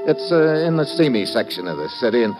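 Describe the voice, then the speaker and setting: A man plays a hard-boiled government agent in an old-time radio drama, with music behind him. His talk is clipped, sardonic and world-weary, pitched at a middling male level. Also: expressive, brisk, lightly acted. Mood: tense narration. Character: tough, wisecracking male government agent narrating his own case. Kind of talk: 1940s-50s radio detective drama acting and hard-boiled narration. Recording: vintage radio recording with music.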